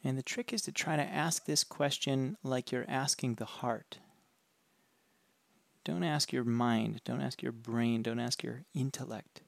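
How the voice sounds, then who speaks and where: A man with a gravelly, creaky voice leads a guided meditation, speaking calmly and gently. The recording is close and dry, with no room sound around him. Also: medium pitch, no echo. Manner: calm, slow, gentle instructions.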